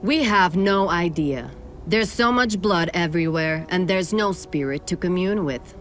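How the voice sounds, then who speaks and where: An actress playing a towering night elf warrior sentry in a radio play, stern and haughty. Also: female voice, on the low side, a little slow, faint music behind, lightly acted. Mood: grave.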